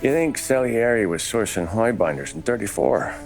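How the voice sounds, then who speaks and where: Man acting as hard-boiled American detective, very low pitch, dry, probing, deliberate, period game drama, faint music. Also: expressive, natural voice. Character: shrewd, dry 1930s American police detective. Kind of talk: cinematic crime game acting.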